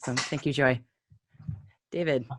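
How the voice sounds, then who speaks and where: A woman moderating a panel on a video call, upbeat and quick to thank each speaker. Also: extremely low pitch, fairly even intonation, slight background noise.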